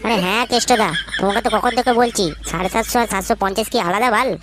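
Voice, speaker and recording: A woman's voice: on the high side, very fast, natural voice. A woman voicing a pushy, foul-mouthed young lad who wheedles as a sidekick in a comedy cartoon. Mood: exasperated. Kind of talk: Bengali comedy cartoon voice acting. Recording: noisy audio with clicks.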